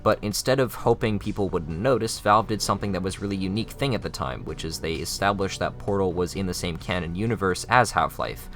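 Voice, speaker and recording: A man's voice: sits low, a little fast, hardly any pauses, a bit bright, faint music behind. A young man reading scripted video essay narration.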